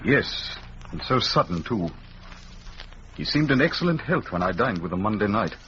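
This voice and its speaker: Low-voiced, formal gentleman captain. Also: sing-song, normal speed. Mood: civil, guarded. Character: earnest, protective gentleman captain, widow's nephew by marriage. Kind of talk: 1940s radio acting. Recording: muffled, noisy 1940s radio recording.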